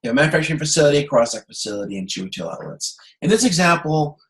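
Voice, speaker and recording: A man giving an online lecture as an instructor, explaining problems step by step in a halting way and often checking that he is understood. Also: a little high, big pitch swings. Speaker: university instructor. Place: video conference call.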